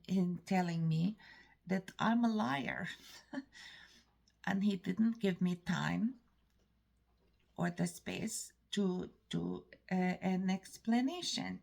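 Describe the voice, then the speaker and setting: A woman with an Eastern European-sounding accent tells a story to camera in a rambling, halting way. Her voice is gravelly and husky, and she speaks very slowly. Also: mid-range voice, darkish tone. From Canada. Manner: rambling, halting, frequent restarts. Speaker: vlogger. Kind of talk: casual storytelling monologue.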